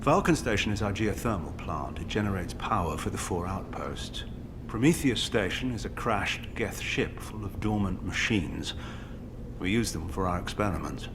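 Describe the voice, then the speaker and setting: An actor playing a defensive scientist in a video game, earnest and grave, explaining himself with emphasis. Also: male voice, low-pitched, expressive, normal speed, background noise. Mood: grave, explanatory. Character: defensive male scientist justifying his disastrous experiment. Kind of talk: dramatic video game dialogue acting.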